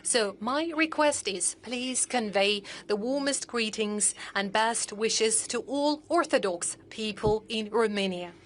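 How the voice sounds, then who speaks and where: A woman interpreter giving a formal, measured simultaneous interpretation. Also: fairly high pitch, a little hiss or hum.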